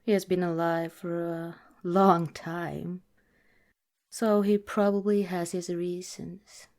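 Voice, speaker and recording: A woman acts out a protective female vampire girlfriend for ASMR. Her voice is fairly low and very slow, soft and slipping into whispers. She sounds earnest and careful, as if giving serious warnings to someone dear. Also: flat delivery. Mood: cautioning, serious. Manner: soft, deliberate, breathy whispering in places. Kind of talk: ASMR roleplay, partly whispered.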